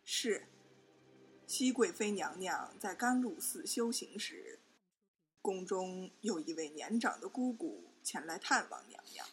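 A woman's voice: on the low side, lively intonation, unhurried, a little hiss or hum. A woman playing a pious, insinuating Buddhist nun in a Chinese period audio drama, speaking formally and deferentially. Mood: measured accusation.

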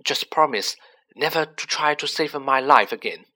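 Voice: mid-range voice, normal speed, sharp tone. A storybook reader voicing a plucky, modest schoolboy hero in an earnest way. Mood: wry.

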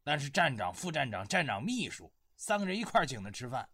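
A man playing a brash, greedy deputy station chief with a rough voice and a blunt, mocking manner in a radio drama. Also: mid-range voice, sing-song, quick pace, pushed and bright, dry recording, clean studio sound, heavily acted. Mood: sneering.

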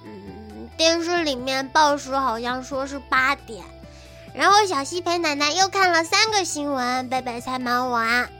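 A woman's voice: sits high, animated, a little slow, heavily acted. A woman voicing a sweet, chatty little girl in an audio drama, recounting things in a hesitant, childlike way. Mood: earnest, innocent. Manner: childlike, hesitant recounting, refers to self by nickname. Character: sweet chatty little girl telling mother about her day. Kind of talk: modern audio drama acting. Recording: soft music behind the voice.

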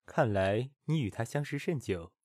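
A man's voice: medium pitch, expressive, moderate pace, a little mellow, no echo, studio quality, natural voice. A man playing a guarded, courteous young musician of ancient China in a period audio drama, restrained and formal. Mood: guarded, polite.

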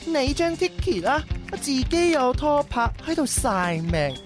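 A woman's voice: high voice, sing-song, over music. A woman playing a fussy, effeminate young man in a radio drama, grumbling theatrically like a cynical penny-pincher. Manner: grumbling, theatrical, drawn-out phrases.

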